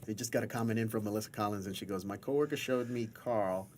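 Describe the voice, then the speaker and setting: Low-voiced man hosting over room noise. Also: fast talker. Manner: chatty, jokey, often repeats phrases. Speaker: podcast host. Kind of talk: casual live podcast banter.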